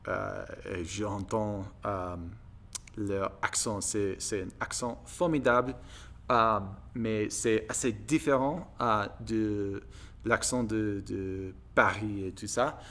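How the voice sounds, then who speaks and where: A man from the United States with an American accent, a native English speaker, talking casually to viewers and haltingly searching for his words in a second language. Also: on the low side, bright, forceful tone, clean tone. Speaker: video blogger practising French.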